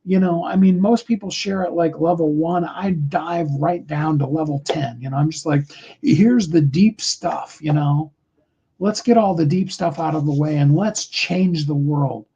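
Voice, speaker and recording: A middle-aged man with a high tenor voice, giving a rambling, earnest motivational talk on a live call, full of fillers. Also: measured pace, gravelly, warm tone, breathy edge, dull audio.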